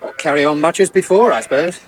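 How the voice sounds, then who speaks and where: An actor playing a wry, harried farmer with a British accent in a 1980s radio soap. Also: male voice, on the high side, quick pace, close to an everyday voice. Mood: wry, weary. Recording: faintly noisy old radio broadcast.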